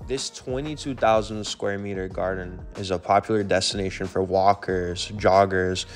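A man's voice: sits low, fairly even intonation, moderate pace, soft background music. A man hosting a travel vlog, narrating a city walking tour in an informative, easygoing way. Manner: informative, easygoing, with casual fillers.

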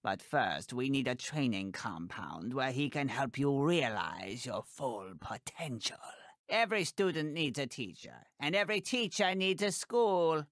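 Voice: medium pitch, big pitch swings, a little slow, dry recording, clean studio sound. A performer voicing a tiny, imperious older woman fashion designer in a video game, theatrical and bossy, calling everyone darling. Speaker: mission giver.

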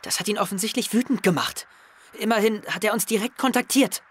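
An actor playing an earnest, resolute teenage schoolboy in an audio drama about hunting a hacker. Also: male voice, high voice, fairly quick, fairly bright tone, husky.

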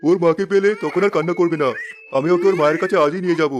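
A man voicing a high-voiced, rapid, commanding king. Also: steady tone, noisy background, close to an everyday voice. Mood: earnest. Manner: commanding, earnest. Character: grieving king searching for his lost daughter. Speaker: voice actor. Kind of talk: cartoon voice acting. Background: background music.

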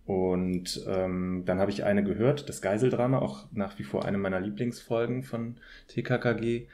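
Guy on a podcast, kinda low mellow voice, just chatting away with little pauses. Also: fairly even intonation. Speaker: podcast guest. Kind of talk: casual conversational podcast talk.

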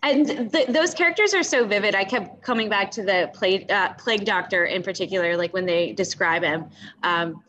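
A woman's voice: medium pitch, a little fast, a bit bright, highs cut off. A young woman in a casual book club discussion on a video call, hesitantly feeling for her words and often saying "you know.". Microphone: video call computer mic.

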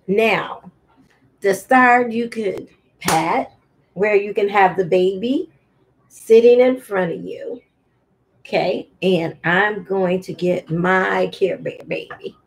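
A middle-aged woman giving a deliberate demonstration for parents of babies, sometimes in singsong baby talk, recorded at home on a webcam microphone. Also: mid-range voice, vocal fry, low-quality recording. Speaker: early childhood teacher, host of infant read-along. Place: room at home.

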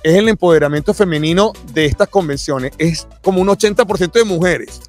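A man's voice: sits high, fairly quick, faint music behind. A middle-aged man interviewing in a chatty, effusive way full of exclamations. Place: convention event booth, indoors. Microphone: close boom-arm microphone with headset.

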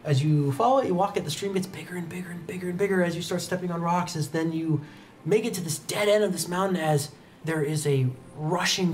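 A man's voice: on the high side, a little hiss or hum. A young man narrating a tabletop roleplaying game as game master, in descriptive, conversational storytelling. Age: young adult. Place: indoor studio set, seated at a desk. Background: background music.

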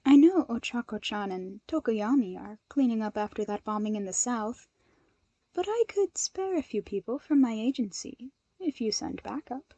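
A composed, polite young heroine in an audio story, as a woman reads her, measured and gently dry. Her voice is fairly high and soft-toned, recorded close and dry. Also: expressive, breathy edge, no room sound, dull audio. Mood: thoughtful. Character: composed, polite, thoughtful young woman hero, agency head.

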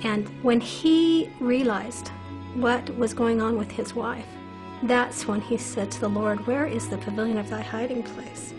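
A woman's voice: on the high side, unhurried, poor audio. A middle-aged woman giving interview commentary on history for a documentary. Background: music.

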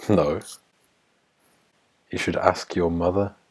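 A man in his twenties from Egypt with a husky voice, joking casually with strangers on video chat as a YouTuber. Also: sits low, steady tone, slow, over loud music. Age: 20s. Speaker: YouTuber hosting random video chats. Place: living room at home.

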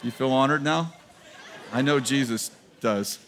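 A man's voice: medium pitch, unhurried, over a noisy crowd, very noisy. A man in his fifties preaching a sermon in a large church auditorium to a live congregation, talking in a casual joking way and laughing at his own lines. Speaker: pastor. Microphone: headset mic.

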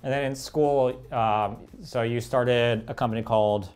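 A young man hosting an interview show over a video call, relaxed, with frequent "uh" fillers. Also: mid-range voice, unhurried, clean tone, soft background music. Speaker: show host.

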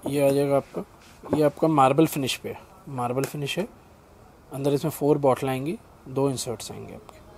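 A middle-aged man selling in a shop, giving a brisk, polite sales pitch and addressing the customer as sir. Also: darkish tone, a little hiss or hum. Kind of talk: product demonstration for a customer. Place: small indoor shop.

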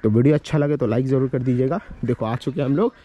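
Bright-voiced young man vlogging. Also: mid-range voice, fast, lo-fi sound. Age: young adult. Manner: repetitive, instructive, addressing viewers. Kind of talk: casual walking vlog, giving directions and farm tour. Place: village lanes outdoors and cattle shed. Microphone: handheld camera at arm's length. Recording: noisy handheld outdoor recording, ambient sound.

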